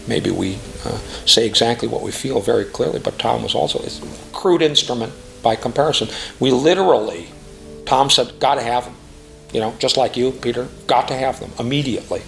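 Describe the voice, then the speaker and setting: A middle-aged man speaking earnestly and emphatically in a reflective interview testimonial, phrasing deliberately. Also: medium pitch, creaky, a bit bright, faint music behind. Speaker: classical music professional giving a testimonial.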